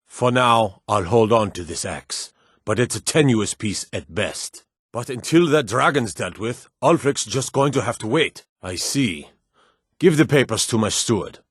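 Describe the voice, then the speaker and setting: An actor playing a proud, stern middle-aged warrior lord in a fantasy game, with a commanding, measured delivery. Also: male voice, fairly low pitch, a bit bright, breathy edge, dry recording, studio quality. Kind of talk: scripted fantasy game dialogue.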